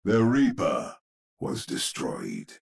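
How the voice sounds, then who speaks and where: Grave old horror game narrator, slow n husky, swells and drops dramatically. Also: male voice, deep voice, huge pitch swings, exaggerated voice. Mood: ominous, grave. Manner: ominous, deliberate, theatrical. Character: grave old storyteller narrating a gothic monster tale.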